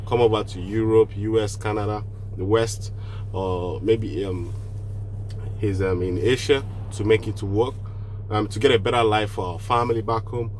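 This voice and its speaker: A man's voice: lowish voice, darkish tone, slight background noise. A man from Nigeria with a cold, giving earnest, casual advice on online business straight to the camera and often restarting his phrases. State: has a cold.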